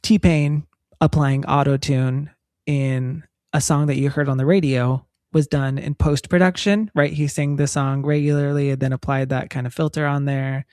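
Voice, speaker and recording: A woman's voice: rumbling low, moderate pace, no echo. Woman co-host, dry close mic. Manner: relaxed, explains with examples. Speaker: podcast co-host. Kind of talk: casual chat. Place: recorded in Texas.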